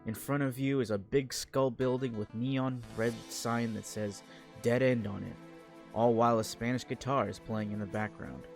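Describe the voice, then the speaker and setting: A man's voice: medium pitch, fairly even intonation, normal speed, vocal fry, soft background music. A man hosting a casual interview, chatting loosely with many fillers and restarts. He also narrates a playful intro scene. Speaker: talk show host. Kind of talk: casual online interview with a role-played intro.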